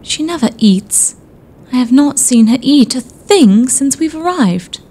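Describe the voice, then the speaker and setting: An anxious Victorian mother in a gothic radio play, acted by a woman. Her voice is high and swings widely in pitch, fretful and slow-paced, over faint ambient noise. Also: expressive, mellow. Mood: suspicious. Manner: fretful, old-fashioned phrasing. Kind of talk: period audio drama acting.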